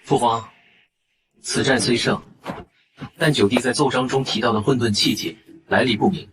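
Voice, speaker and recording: A man playing a calculating court schemer in an animated palace drama, formal and insinuating. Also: medium pitch, moderate pace, a little hiss or hum, close to an everyday voice. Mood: objecting. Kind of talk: Chinese animated web drama voice acting.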